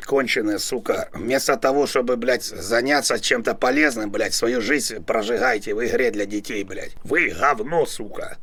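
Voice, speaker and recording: A man in his fifties cursing and shouting orders at his teammates over game voice chat, swearing constantly and muttering insults under his breath. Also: medium pitch, moderate pace, hardly any pauses. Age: 50s. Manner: swearing nonstop, domineering, bossing his team around. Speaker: online tank game player in voice chat. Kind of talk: abusive trash talk over team voice chat.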